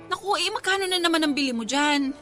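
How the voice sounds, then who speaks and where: A woman's voice: sits high, expressive, quick pace, a bit bright. A woman acting a practical, scolding young best friend in a Tagalog radio drama, with a Filipino accent. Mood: disapproving. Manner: scolding, preachy, exclamatory. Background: music.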